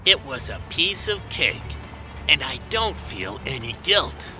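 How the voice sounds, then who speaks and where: Man acting a slow, wildly swinging villain. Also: mid-range voice, sing-song. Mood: callous, bitter. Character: bitter, remorseless male serial-killer barber, cornered villain. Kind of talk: anime fan dub acting. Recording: voice under clear background music.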